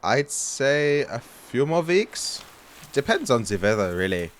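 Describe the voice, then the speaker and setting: Man playing pirate deckhand, audio drama, nervous, good-natured, deferential, hesitant, animated pitch. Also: slow pace. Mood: hesitant, uneasy.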